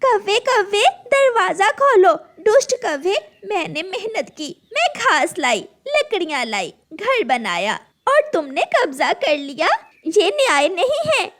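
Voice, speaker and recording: A little female bird from an animated fable, played by a woman. She is hardworking and indignant, with a high, swooping voice and an earnest, scolding manner. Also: unhurried, full character voice. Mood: upset.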